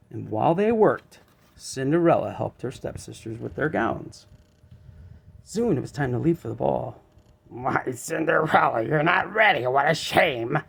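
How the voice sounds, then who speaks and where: Animated man reading a children's story. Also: mid-range voice, gravelly. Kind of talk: children's read-aloud narration.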